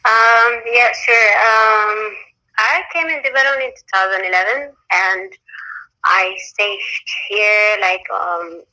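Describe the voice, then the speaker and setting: Woman from ethiopia on a phone call, very bright voice, halting and searching for words. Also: mid-range voice, fairly even intonation. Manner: hesitant, many fillers and restarts. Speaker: panel guest, freelance migrant worker. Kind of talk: answering questions on a panel. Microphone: phone on a messaging-app call.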